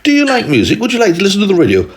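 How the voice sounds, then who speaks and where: A man voicing a sweet, cheerful little girl with an eager, high voice. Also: wildly swooping pitch, very fast.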